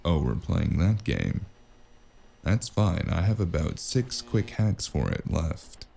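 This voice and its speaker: Male actor as hardboiled noir detective, low-pitched, dark mellow tone, sardonic and menacing, gravelly, audio drama. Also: very animated, slow pace, heavily acted. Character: cynical, wisecracking hardboiled spacer investigator with magic. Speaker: voice actor playing the lead and narrator.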